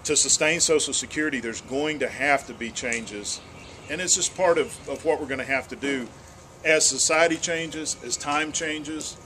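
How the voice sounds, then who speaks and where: A man's voice: medium pitch, fairly bright tone, a little hiss or hum. A man, a state senator giving an earnest town hall speech and answering questions, often repeating words as he restarts.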